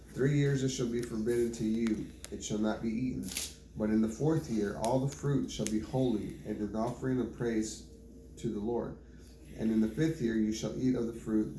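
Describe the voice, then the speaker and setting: A man reading scripture aloud in a plain, measured way, with occasional small stumbles. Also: on the low side, warm tone. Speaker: Bible reader. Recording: roomy home recording with faint background noise.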